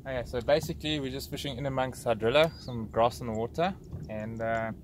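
A man's voice: mid-range voice, a little fast. A South African man guiding a fishing trip, explaining plainly and easily with fillers, outdoors on a boat. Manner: relaxed, hesitant with fillers. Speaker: fishing guide. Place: outdoors on a bass boat on a lake. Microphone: action camera on the boat. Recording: outdoor boat recording with noise.

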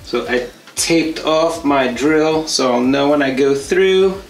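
A man's voice: fairly high pitch, takes it slowly, soft background music. A man in his thirties co-hosting a DIY show, casually explaining each step of a woodworking project as he works in a home workshop. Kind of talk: step-by-step craft tutorial. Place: home workshop studio, indoors. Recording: roomy workshop echo.

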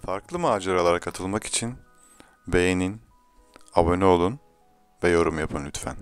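A man's voice: sits low, normal speed, clear, resonant tone, dry recording, faint music behind. A man reading a scripted fairy tale to children as a storyteller.

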